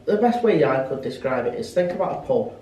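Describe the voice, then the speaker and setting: A young man with an English accent talks casually, seeking agreement with tag questions. His voice is mellow and picked up from a distance in an echoey space. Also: fairly high pitch, sing-song, a little fast. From Stoke, England. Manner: conversational, adds tag questions. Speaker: interviewee. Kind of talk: casual chat. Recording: echoey, distant mic, faint noise.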